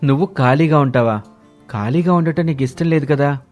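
A man's voice: on the high side, a little fast, darkish tone, close to an everyday voice. Man acting teasing young husband, music behind. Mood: friendly. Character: surprised, mildly teasing young village-born husband and son. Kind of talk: animated moral-story voice acting. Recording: music bed under the voice.

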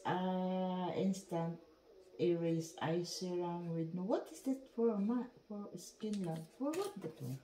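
A woman's voice: medium pitch, dark tone. Filipina-sounding lady unboxing stuff, giggly broken english, kinda echoey home room, faint hiss. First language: Tagalog. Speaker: vlogger. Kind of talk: casual unboxing chat.

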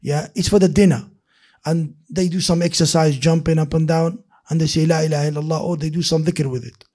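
A man's voice: on the high side, fairly quick. A middle-aged man giving a seated hadith lesson in a mosque at a desk microphone, talking with his hands and often restarting words. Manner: animated, gestures with his hands while explaining; repeats and restarts words, mixes in Arabic phrases. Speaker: hadith teacher. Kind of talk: classroom lecture. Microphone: desk microphone on a stand.

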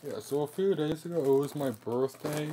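A man's voice: a little high, normal speed, heavy background noise. A teenage boy talking casually on his gaming channel, laughing and joking about his own voice. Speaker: youtuber.

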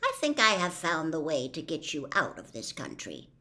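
A woman's voice: deep voice, wildly swooping pitch, moderate pace, full character voice. A woman in her sixties voicing a humble male wizard who admits to being a humbug, speaking in a mild, confiding way. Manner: mild, confiding, gently pleasant.

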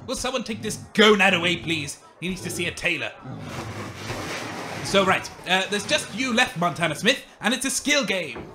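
A man's voice: high voice, background noise. A man playing a flustered, exasperated game show host who scolds his contestants, in a comedy audio drama.

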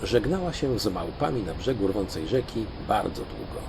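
An older man narrating a children's audiobook in an expressive, dramatic, grandfatherly storytelling manner. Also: on the low side, normal speed, creaky, noisy background. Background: music.

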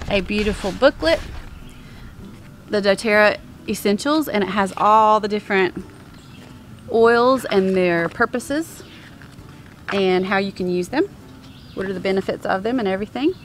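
Chatty woman vlogging outdoors on a lawn. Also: medium pitch. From American. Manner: chatty, many ums, upbeat about products. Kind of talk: casual product show-and-tell. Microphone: handheld camera at arm's length.

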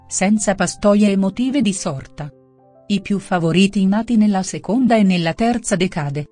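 A woman's voice: medium pitch, a little mellow. A woman reading a scripted daily horoscope as narration. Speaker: horoscope reader. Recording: music under the voice. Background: background music.

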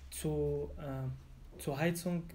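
A man in his twenties from Syria with an Arabic accent, speaking haltingly with many fillers and restarts as a candidate in a practice language exam, in an office room. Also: on the high side, fairly even intonation, a little mellow, a little hiss or hum. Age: 20s. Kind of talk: simulated oral language exam.

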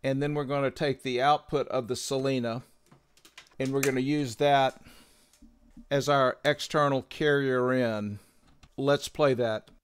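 A man hosting a synthesizer tutorial, explaining gear in a measured, methodical way on a close microphone. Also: medium pitch, fairly even intonation, slight background noise. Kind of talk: explanatory product tutorial narration.

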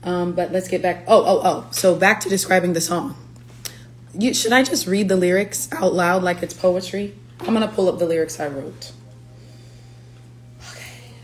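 Raspy, allergy-hoarse young woman chatting live. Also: fairly low pitch, moderate pace. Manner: chatty, playful, breaks into lyrics. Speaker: singer-songwriter. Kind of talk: casual livestream chat. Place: her living room at home, indoors. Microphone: phone camera at arm's length.

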